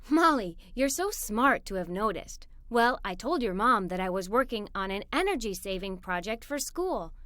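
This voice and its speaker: A woman's voice: mid-range voice, big pitch swings, moderate pace, hardly any pauses, a bit bright, no echo, voice pushed down. A woman voicing an upbeat young female student babysitter in a storybook, explaining things in an encouraging way.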